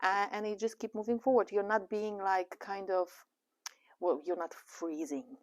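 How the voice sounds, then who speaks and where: A woman's voice: mid-range voice, steady tone, moderate pace. A woman with a Polish accent co-hosting a casual podcast, talking in an encouraging, reflective way and laughing easily. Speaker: podcast co-host.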